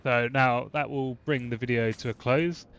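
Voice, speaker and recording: A man's voice: medium pitch, fairly even intonation, normal speed, fairly bright tone, clear, resonant tone, lo-fi sound. A man with a stuffy, blocked-up voice from a cold, casually explaining a game build with lots of fillers and restarts. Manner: rambling, frequent fillers and restarts. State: congested from a cold. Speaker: gaming channel host. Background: game gunfire and explosions.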